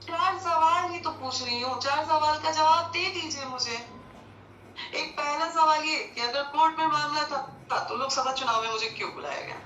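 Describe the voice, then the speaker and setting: A woman's voice: high voice, normal speed, pushed and bright. A woman arguing her case on a recorded call, insistent and aggrieved, pressing rhetorical questions. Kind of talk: phone call, pleading argument. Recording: echoey, distant phone-call recording with faint noise.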